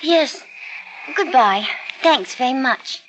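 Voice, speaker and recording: Sweet young girl, firm but gentle, lively high voice, hissy old radio play. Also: slow pace, muffled recording. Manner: firm yet gentle, matter-of-fact. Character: sweet young woman ending a romance. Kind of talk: 1940s radio acting. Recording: old radio drama with noticeable hiss.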